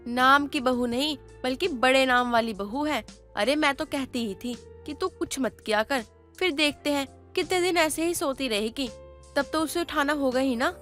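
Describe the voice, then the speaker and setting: A woman's voice: high voice, normal speed, fairly bright tone. A woman voicing an outspoken, teasing neighbour with a wry, chiding manner in a moral story cartoon. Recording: music under the voice.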